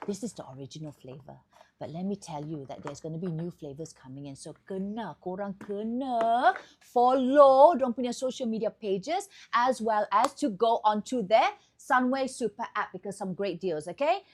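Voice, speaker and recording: A woman's voice: mid-range voice, animated, normal speed. A woman with a Malaysian accent hosting a chatty, upbeat promotional tour of a hotel room indoors. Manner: chatty, upbeat sales patter. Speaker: host.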